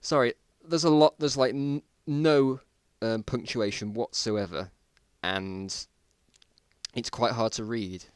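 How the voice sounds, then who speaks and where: A man's voice: mid-range voice, fairly even intonation, takes it slowly, clean tone, no echo, clean studio sound. A man reading a story aloud in a mock-dramatic style, amused and breaking off into mocking asides. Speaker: reader of own old story.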